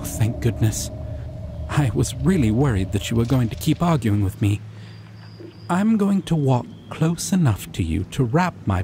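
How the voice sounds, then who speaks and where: A man voicing a shy, caring male dragon in an ASMR roleplay, talking softly and reassuringly. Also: medium pitch, slight background noise. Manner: soft, reassuring, slow and hesitant. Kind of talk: ASMR roleplay audio drama.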